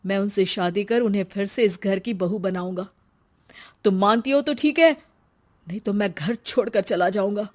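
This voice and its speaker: A woman voicing an earnest, protective young male college student in an animated story, pleading and righteous. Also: mid-range voice, normal speed, natural voice. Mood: righteous, firm.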